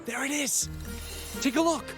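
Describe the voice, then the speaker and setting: An actor voicing an eager, somewhat apologetic man in a video game who captures events on film, speaking in an excitable way. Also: extremely high pitch, normal speed. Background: game music.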